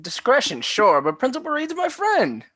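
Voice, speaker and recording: An earnest, nerdy sixteen-year-old boy, played by a man, chatty and oblivious in casual bro slang. The pitch swings in a lively way, and the comedy table read sounds very dry. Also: moderate pace, dry recording, exaggerated voice. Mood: earnest, defensive.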